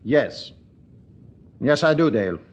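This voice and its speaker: An actor playing an irritable, pompous senior Scotland Yard chief with a British accent, testily repeating himself in a vintage detective radio drama. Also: male voice, mid-range voice, expressive, slow, lo-fi sound. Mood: authoritative.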